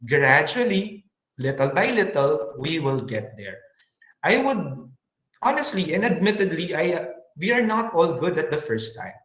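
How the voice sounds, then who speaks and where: A man's voice: fairly high pitch, sing-song, unhurried, fairly bright tone. Earnest man, echoey room. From Philippines. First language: Filipino. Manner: earnest, many fillers and restarts. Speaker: webinar speaker on teaching science in English. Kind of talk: webinar talk.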